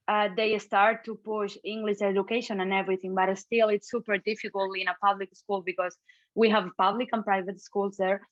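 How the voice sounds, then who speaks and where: A Catalan woman with a Spanish accent talks as a podcast guest, lively and quick to laugh. Her voice comes through a dull, slightly lo-fi mic. The sound is dry and close. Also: mid-range voice, no echo. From Catalonia, Spain. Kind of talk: casual conversation.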